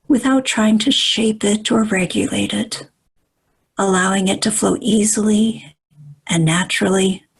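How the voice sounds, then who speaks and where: Female meditation guide; hushed, very airy tone, unhurried delivery, calm measured phrases with long pauses. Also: medium pitch, low-quality recording. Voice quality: soft, breathy, at times near whisper.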